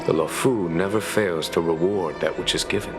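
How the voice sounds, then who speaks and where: An actor playing a calm, shrewd general in a video game, speaking in a measured, formal and diplomatic way. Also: male voice, deep voice, music in the background, natural voice. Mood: gracious, assured. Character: calm, courteous, shrewd male general commanding a starship's knights. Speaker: military leader. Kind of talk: scripted video game dialogue.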